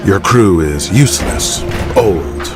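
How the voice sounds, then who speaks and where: A menacing, arrogant tycoon of the wrestling world in an audio drama. His low voice is sneering and dismissive, as if he owns everyone in the room. Music plays prominently behind him. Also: lively intonation, full character voice. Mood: contemptuous. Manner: slow, sneering, domineering. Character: arrogant, menacing, lecherous male wrestling mogul, cruelly dismissive.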